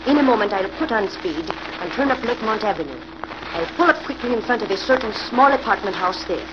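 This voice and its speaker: An actress playing a cool, commanding female crime boss in a 1940s radio drama, giving brisk, imperious orders. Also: mid-range voice, lively intonation, close to an everyday voice. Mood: tense, controlled. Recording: muffled, noisy old radio recording.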